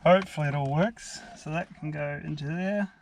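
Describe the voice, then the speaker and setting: A man with an Australian accent and a slightly high voice talks in a casual, laid-back way, like an amateur reviewer on a vlog. There is noticeable background noise on the recording. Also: fairly quick. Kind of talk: casual hands-on vlog narration. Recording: clearly noisy amateur vlog audio.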